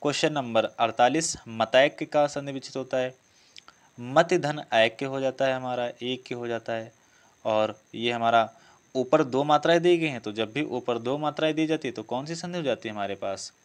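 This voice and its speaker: A man's voice: mid-range voice, moderate pace, clear, resonant tone. A man teaching a test-prep lesson, working through questions didactically and repeating key phrases. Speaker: exam coaching teacher.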